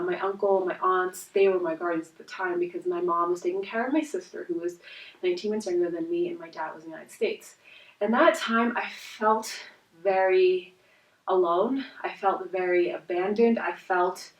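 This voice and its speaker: A woman in her twenties from India, a native Hindi speaker, telling her life story as a life coach in an earnest, reflective way with soft pauses. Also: medium pitch. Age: 20s. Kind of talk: personal storytelling vlog. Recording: roomy echo, voice from a distance.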